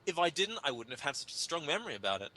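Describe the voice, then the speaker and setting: A man's voice: a little high, big pitch swings, very fast, bright, forceful tone, low-quality recording. A man giving a theatrical, pompous dramatic reading of comic prose in a mock-grave manner. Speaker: reader of a humorous article.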